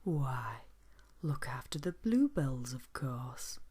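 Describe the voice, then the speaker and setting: A woman's voice: rumbling low, big pitch swings, slow, darkish tone, full character voice. A woman voicing a kindly, cheerful little male woodland gnome in a whispered bedtime story. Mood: gentle. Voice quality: breathy whisper.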